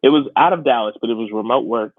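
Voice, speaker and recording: A man's voice: mid-range voice, quick pace, no echo. A man in his twenties co-hosting a podcast, chatting casually in a chummy, self-deprecating way. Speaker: podcast co-host. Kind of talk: casual chat. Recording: tinny phone-like line, dry.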